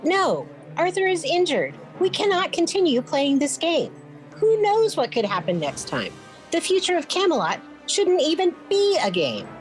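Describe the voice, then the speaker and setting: A woman's voice: extremely high pitch, wildly swooping pitch, moderate pace, soft background music, exaggerated voice. An actress playing a proud, haughty, forthright noble queen in a fantasy radio play. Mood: indignant.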